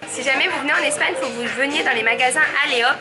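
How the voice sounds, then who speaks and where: A woman's voice: sits high, racing. A young woman vlogging casually outdoors, chatty and teasing, laughing often. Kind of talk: casual travel vlog. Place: outdoors at a busy seaside town. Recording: busy street crowd and music.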